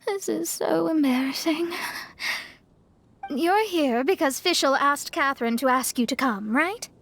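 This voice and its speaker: A woman's voice: high voice, expressive, unhurried, vocal fry, fairly bright tone, heavily acted. A woman playing a proud, exasperated young astrologist in an anime game, huffy and sardonic. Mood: embarrassed, exasperated.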